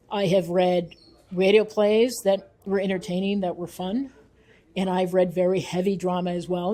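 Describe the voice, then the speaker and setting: A woman's voice: medium pitch, dark tone. A woman speaking as an interview guest and researcher, earnest and emphatic, repeating phrases for weight. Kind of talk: thoughtful spoken interview.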